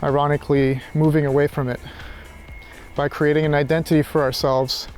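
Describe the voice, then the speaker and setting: A man's voice: fairly high pitch, flat delivery, brisk, darkish tone, soft background music. A man thinking aloud in an unscripted reflective monologue, hesitant and searching, often circling back over his phrases. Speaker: philosophy vlogger.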